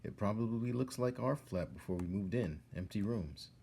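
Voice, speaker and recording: A man voicing a brisk, dismissive mother in a storybook read-aloud, offhand and matter-of-fact. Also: on the low side, animated, creaky, dark tone, slight background noise.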